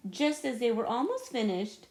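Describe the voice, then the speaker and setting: A woman reading a children's story aloud in an expressive narration style with dramatic build-ups. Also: a little high, normal speed, faint music behind. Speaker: storybook reader.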